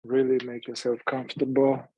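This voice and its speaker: A man leading a guided meditation in a calm, unhurried manner, leaving long pauses between phrases. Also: medium pitch, husky, dry recording, highs cut off.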